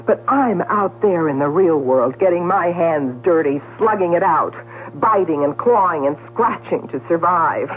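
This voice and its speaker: An actress playing an evasive, self-dramatizing middle-aged newspaper gossip writer in a 1970s radio drama. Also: female voice, on the low side, lively intonation, unhurried. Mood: bitter. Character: Clara, smooth evasive career newspaper gossip writer, an older sister. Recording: tinny, noisy old radio broadcast.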